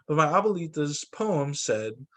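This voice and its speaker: Animated man reading a storybook aloud. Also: a little high, measured pace. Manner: animated, acts out dialogue for children. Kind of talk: expressive children's read-aloud narration.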